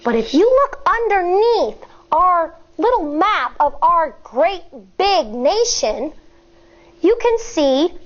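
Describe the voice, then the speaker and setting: An American woman, a schoolteacher reading a children's book aloud in a deliberate, instructive way, explaining as she goes. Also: very high voice, dull audio.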